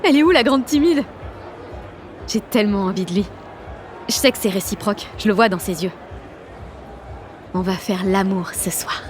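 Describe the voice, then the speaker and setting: A woman's voice: mid-range voice, moderate pace, pauses often, soft background music, close to an everyday voice. A woman playing a cocky, exalted sixteen-year-old girl activist, narrating an audio drama in brash, confiding slang. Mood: infatuated, excited. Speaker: lead actor and narrator.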